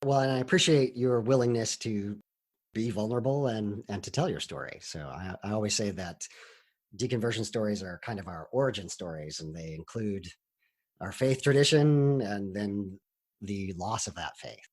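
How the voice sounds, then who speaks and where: A man hosting an interview podcast, introducing his guest and asking steady, welcoming questions. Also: fairly low pitch, moderate pace. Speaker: podcast host.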